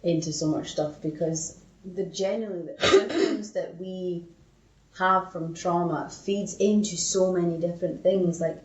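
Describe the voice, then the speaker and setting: A woman's voice: fairly low pitch, fairly even intonation, a little slow. A woman co-hosting a podcast in candid, casual conversation. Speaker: podcast co-host. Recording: echoey room, dull muffled sound.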